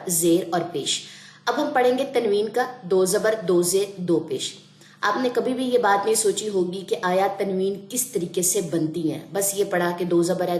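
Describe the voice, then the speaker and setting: Brief: woman tutor, patient lesson delivery, echoey room. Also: mid-range voice. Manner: slow drawn-out letter sounding, patient explaining. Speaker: Quran reading teacher. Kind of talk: online class lesson.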